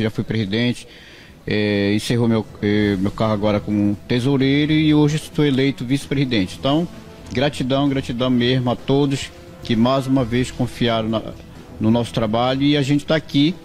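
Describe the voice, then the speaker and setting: A man's voice: mid-range voice, a little mellow. A middle-aged man with a northern Brazilian accent giving an interview answer into a handheld microphone indoors. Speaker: fishers' association vice-president. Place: indoor meeting room. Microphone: handheld reporter microphone.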